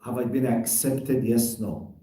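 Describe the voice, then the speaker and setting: Dark-voiced man lecturing in an echoey room. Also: mid-range voice, steady tone, a little slow. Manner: rhetorical questions, argues by everyday examples. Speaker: lecturer giving Quran commentary. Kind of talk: religious lesson. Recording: very echoey room, distant mic.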